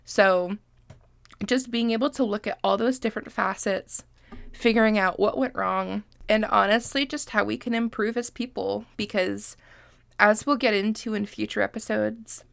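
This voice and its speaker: A woman's voice: medium pitch, steady tone, normal speed, vocal fry, fairly bright tone, dry recording. A woman in her twenties from Massachusetts, congested and sniffly, hosting a casual podcast in a chatty, self-deprecating way and swearing freely. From Massachusetts, USA. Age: 20s. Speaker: podcast host. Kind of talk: casual solo podcast chat.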